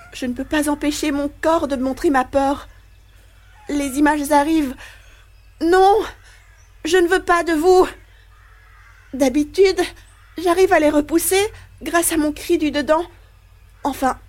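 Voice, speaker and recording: A woman's voice: sits high, a little mellow. A woman playing a terrified, ashamed young boy in an anxious first-person inner monologue for audio fiction. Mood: panicked.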